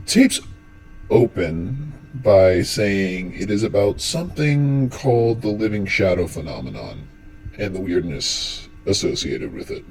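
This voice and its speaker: A man's voice: fairly low pitch, darkish tone, husky, poor audio. A man giving scripted commentary as the theatrical host and storyteller of a horror explainer. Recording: music under the voice. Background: music.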